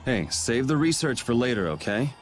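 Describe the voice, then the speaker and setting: A man's voice: mid-range voice, sing-song, normal speed, faint music behind, lightly acted. A man voicing a cool, casual, teasing young swordsman hero in a video game. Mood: level.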